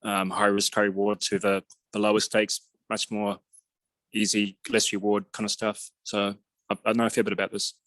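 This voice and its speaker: A man's voice: on the low side, completely flat delivery, moderate pace, fairly bright tone, dry recording. A man giving a casual self-introduction at a meeting. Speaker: meeting participant.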